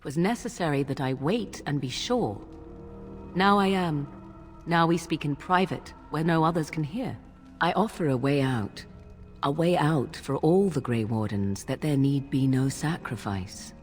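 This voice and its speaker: A woman voicing a cool, secretive young sorceress in a fantasy game, with a deliberate, cryptic, theatrical delivery. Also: low-pitched, lively intonation, a little mellow, soft background music. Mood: cool, conspiratorial.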